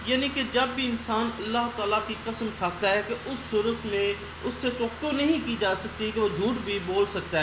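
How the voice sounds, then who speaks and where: Female law lecturer, slow and explanatory, bilingual delivery, echoing room sound. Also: on the high side. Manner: switches between English and Hindi-Urdu, explaining each point. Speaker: law lecturer and channel host. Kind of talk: explanatory law lecture, reading legal points. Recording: roomy echo, clear background noise.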